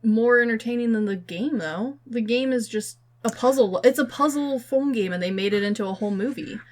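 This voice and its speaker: A woman's voice: fairly high pitch, a little fast, a little mellow. A woman podcast host chatting informally about pop culture, opinionated and casual with her language.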